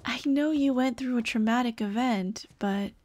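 A young woman voicing a dead girlfriend's caring, gently nagging ghost in an ASMR roleplay drama, tender and coaxing. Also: a little high, moderate pace, close to an everyday voice. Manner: tender, coaxing, soft-hearted scolding. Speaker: roleplay voice actress.

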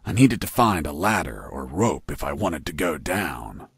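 Low-voiced, wry game hero. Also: male voice, big pitch swings, moderate pace. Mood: wry recounting. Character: wry, unflappable male prisoner telling his escape story. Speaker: jailed escapee hero of a video game. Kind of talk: video game narration and dialogue.

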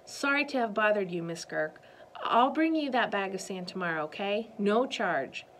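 A woman voicing a kind, helpful young boy who speaks gently in a storybook reading. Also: mid-range voice, expressive, normal speed, slight background noise, close to an everyday voice, poor audio. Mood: gentle.

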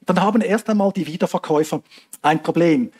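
Man, 40s, lecture-hall Q&A, informal explaining, husky. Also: high voice, fairly quick, darkish tone. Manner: explanatory, plain-spoken, addresses audience informally. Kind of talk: conference Q&A answers.

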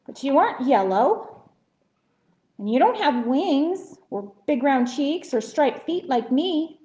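A woman acts out a small, lonely bird from a children's storybook, searching for a mother. The voice is high and very sing-song, plaintive and eager. The recording has a roomy echo. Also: sing-song, takes it slowly, dark tone, full character voice. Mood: earnest. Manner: plaintive, pleading.

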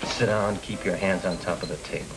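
An actor playing a determined young parolee out to clear his name in a 1930s crime film. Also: sits low, steady tone, brisk, a crowd in the background, low-quality recording. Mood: cool, pressing. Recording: old 1930s film soundtrack, music bleeding in.

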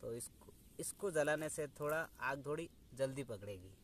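A young man talks casually straight to his viewers, laughing easily as he goes. His voice sits at an everyday pitch, caught outdoors on a phone with faint background noise. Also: expressive, moderate pace, fairly bright tone. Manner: chatty, laughs often, addresses viewers directly. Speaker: vlogger. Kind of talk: casual outdoor vlog narration. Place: outdoors in dry scrubland.

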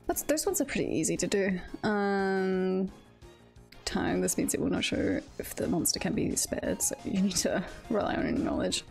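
A woman's voice: medium pitch, lively intonation, normal speed, creaky, a little mellow, soft background music. A woman streamer giving casual live game commentary, thinking aloud and chattily repeating phrases to herself. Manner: chatty, self-talking, often repeats phrases.

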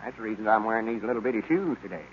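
A man plays a stubborn, grumbling old schemer from the country in a vintage radio comedy. He rattles along quickly in a rustic Southern accent. The recording is muffled, with light noise. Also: fairly low pitch, animated, lightly acted. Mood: stubborn, sheepish. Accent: rural Southern US. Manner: rustic, complaining. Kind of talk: 1930s radio comedy acting.

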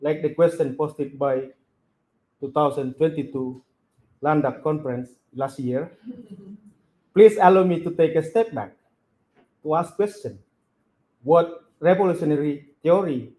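A man in a conference room reading prepared remarks as a discussant, in a deliberate, emphatic tone, picked up by a camera across the room. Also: on the high side, warm tone, rough recording.

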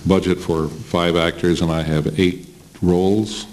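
Low-voiced man on a panel. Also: flat delivery, measured pace, darkish tone, slight background noise. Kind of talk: casual panel remarks. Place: meeting room with audience.

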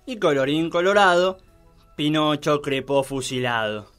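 A man's voice: fairly high pitch, animated, measured pace, fairly bright tone, soft background music. A man narrating a scripted mock children's tale as a storyteller.

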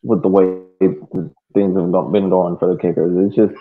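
An American man in his thirties giving casual sports analysis in a halting way, often restating himself. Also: sits low, little pitch movement. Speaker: sports podcast panelist. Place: indoor room, video call. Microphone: video call microphone. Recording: phone-like, thin video call audio.

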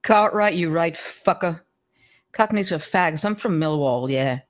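A brash London gambler acted by a woman in a film table read. The voice is on the low side for a woman, crude, combative and full of slang. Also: expressive, a little slow.

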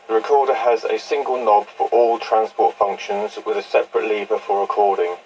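A distant recording of a man with a fairly low voice. He speaks in a measured, matter-of-fact way, as in a scripted equipment demonstration. Faint noise sits on the reel-to-reel tape recording. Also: a little mellow, dull audio. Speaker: presenter demonstrating vintage audio equipment. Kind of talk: scripted test recording and product narration.

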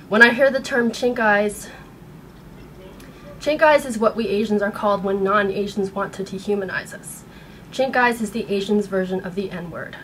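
An American woman in her thirties speaking in an earnest, halting way, upset and shaken, as in a personal vlog. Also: medium pitch, a bit bright, low-quality recording. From United States. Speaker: vlogger. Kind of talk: personal video blog, partly reading aloud. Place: small bedroom at home. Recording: noticeable background room noise.

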